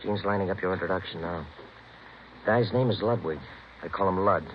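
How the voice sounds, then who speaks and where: A man's voice: low voice, fairly quick, close to an everyday voice. An actor playing a laconic, streetwise American undercover man, casual and clipped, in a 1950s radio crime drama. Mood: casual, watchful. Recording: muffled, hissy vintage radio recording.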